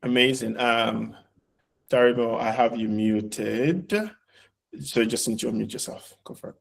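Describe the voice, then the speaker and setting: A man hosting a live pitch session casually, polite and encouraging as moderator. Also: fairly even intonation, a little slow, vocal fry.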